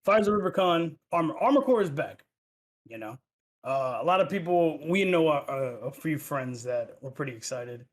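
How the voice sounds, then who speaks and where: Podcast host, man, animated pitch, slightly higher voice, loose profane chat. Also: normal speed. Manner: loose and profane, lots of fillers and restarts. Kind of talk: casual gaming podcast chat.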